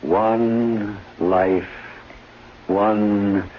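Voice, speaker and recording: A man's voice: fairly low pitch, steady tone, drawn-out words. An actor playing a sinister, taunting man in a 1970s radio drama, speaking with hypnotic menace. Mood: menacing, teasing. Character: sinister, taunting man toying with a voodoo doll. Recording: dull, faintly noisy 1970s radio recording.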